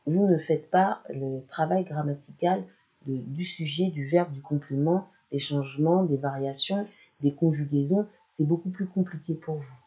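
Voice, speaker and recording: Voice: medium pitch, normal speed, warm tone, highs cut off. A language channel presenter giving an explanatory talk that speaks straight to the listener. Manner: explanatory, addresses listener directly. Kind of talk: educational talk to camera.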